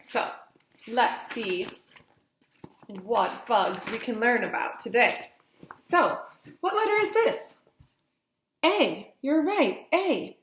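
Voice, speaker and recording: Higher-voiced woman teacher, echoey room. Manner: simple explanations with frequent questions to listeners and stressed letter names. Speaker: teacher reading aloud to young children. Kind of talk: read-along lesson for children. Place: indoors, small plain-walled room. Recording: roomy, echoey webcam sound.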